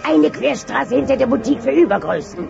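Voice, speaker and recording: A crabby old grandmother dinosaur, extremely creaky and high. She is dry and gleefully theatrical, in a comic radio play with music behind. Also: lively intonation, brisk, full character voice. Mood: gleefully ominous. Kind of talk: comic audio drama acting. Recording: dull cassette sound, music behind.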